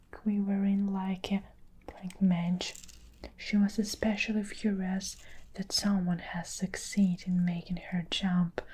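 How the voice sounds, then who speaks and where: A woman reading a story aloud in a hushed whisper, slow and soft. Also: medium pitch, slight background noise, poor audio.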